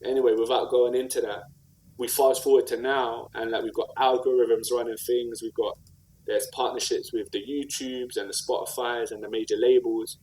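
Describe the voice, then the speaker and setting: A man's voice: medium pitch, flat delivery, normal speed, darkish tone, a little hiss or hum, poor audio. Earnest South London man talking slangily. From South London, England. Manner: earnest, slangy, with repeated "like" and "you know what I mean". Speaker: podcast guest, rapper and community activist. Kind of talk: conversational interview, reflective storytelling.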